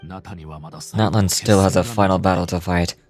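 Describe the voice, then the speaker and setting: An actor playing a grave, solemn warrior captain in a video game, speaking formally and with measured weight. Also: male voice, low-pitched, a little mellow. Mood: solemn, resolute. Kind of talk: video game dialogue acting. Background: game music.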